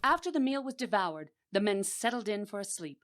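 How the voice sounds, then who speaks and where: An actress as an expressive female storyteller. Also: medium pitch, brisk, close to an everyday voice. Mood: suspenseful. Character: female storyteller narrating an adventure tale. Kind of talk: dramatic storybook narration, audio drama. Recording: faint music behind voice.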